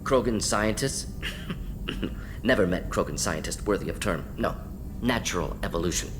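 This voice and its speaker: A man's voice: on the low side, animated, background noise, natural voice. An actor playing a brainy, pragmatic male alien scientist in a video game, speaking in clipped, telegraphic phrases. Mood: matter-of-fact, dismissive. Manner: clipped telegraphic phrases, rapid-fire listing. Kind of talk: video game dialogue acting.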